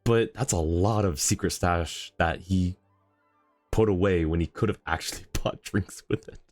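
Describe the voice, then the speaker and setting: A man's voice: low-pitched, moderate pace, a little mellow, no echo, faint music behind. A man livestreaming a game in a casual, chatty way, thinking aloud and talking to his chat.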